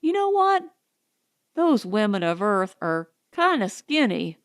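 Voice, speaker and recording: A woman's voice: mid-range voice, big pitch swings, takes it slowly. A woman voicing a folksy male colonist who talks in an earnest, colloquial way, in an audiobook story.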